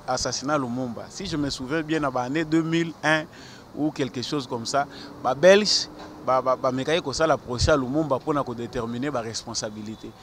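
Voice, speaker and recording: A man's voice: medium pitch, fairly bright tone. A man in his thirties with a Congolese accent, mixing French and Lingala, answers a street interview in an earnest, argumentative way, stammering over repeated words. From Congo (DRC). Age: 30s. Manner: gestures with his hand while talking. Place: outdoors on a busy city square. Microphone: handheld mic held out by the interviewer. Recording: faint street noise outdoors. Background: faint traffic.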